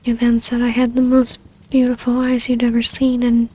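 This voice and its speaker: Woman acting a soft, high-voiced, yearning vampire baroness. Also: completely flat delivery, moderate pace, lightly acted. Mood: tender, yearning. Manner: soft, breathy, often dropping to a whisper. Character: tender, yearning vampire baroness, long-lost lover. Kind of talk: intimate ASMR roleplay acting.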